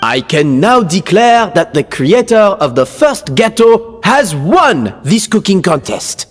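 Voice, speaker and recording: A dignified contest judge with a high, lively voice that makes slow, deliberate pronouncements. Long reverb hangs on the game audio. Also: male voice, soft background music, full character voice. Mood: formal. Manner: formal, deliberate. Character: formal male contest judge, stiff and dignified. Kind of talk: video game voice acting.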